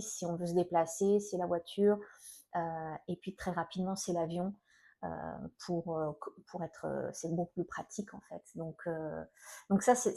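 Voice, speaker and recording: Mellow middle-aged French woman, talking haltingly. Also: on the low side. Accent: French from France. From France. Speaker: self-employed energy healer and coach, video host. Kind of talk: personal vlog, informal talk to viewers.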